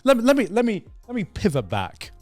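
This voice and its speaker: A man co-hosting a casual gaming podcast, arguing in an animated way and repeating phrases as he pushes his point. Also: sits high, fairly quick, no room sound, soft background music. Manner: animated, argumentative, repeats phrases to hold the floor. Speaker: podcast co-host. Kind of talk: casual podcast debate about video games.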